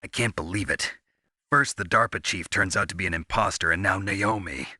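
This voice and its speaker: An actor playing a gruff, sardonic special-ops soldier in a video game, terse and world-weary with a gravelly, husky voice. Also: male voice, low-pitched, normal speed, pushed and bright, no room sound, clean studio sound, heavily acted. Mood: exasperated.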